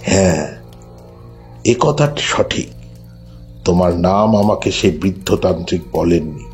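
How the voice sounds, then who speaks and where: An actor playing a solemn village priest in a horror audio drama, questioning in measured, deliberate phrases. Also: male voice, low-pitched, a little mellow, soft background music.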